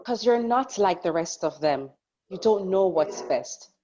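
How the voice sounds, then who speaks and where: A woman's voice: mid-range voice, lively intonation, a little slow, dark tone, close to an everyday voice. Lady reading strict religious mom, controlling, takes her time, muffled audio. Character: stern, controlling, devout mother. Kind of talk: script table read. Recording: dull lo-fi recording.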